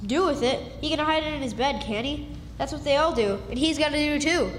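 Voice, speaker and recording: A woman's voice: high voice, fairly quick, a little hiss or hum, close to an everyday voice. A woman playing an earnest, scheming runaway country boy in a radio play, with folksy speech. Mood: eager, scheming. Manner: folksy, eager. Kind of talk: stage radio drama acting. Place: live stage in a hall. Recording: reverberant hall recording.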